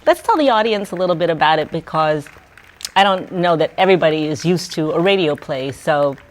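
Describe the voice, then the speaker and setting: A woman's voice: sits low, lively intonation, a little fast, rough recording. A woman interviewer chatting casually with her guest.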